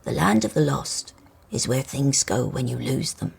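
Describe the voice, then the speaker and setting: A woman voicing an old rusty male toy car in a storybook, grave and wise, croaky, explaining things slowly and ominously. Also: a bass voice, a little mellow, voice pushed down, heavily acted. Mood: grave warning.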